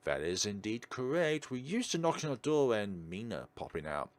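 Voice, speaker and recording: A man reading a visual novel aloud as a let's-play host, theatrical and drawn-out, with dry ad-libbed asides. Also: fairly low pitch, very animated, dry recording. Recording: prominent game music behind the voice. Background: video game music.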